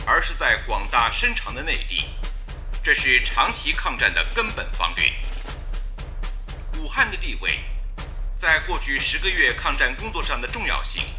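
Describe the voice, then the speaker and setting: An actor playing a solemn, declamatory 1930s wartime radio announcer reading bulletins in a radio drama. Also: male voice, a little high, pushed and bright, heavily acted.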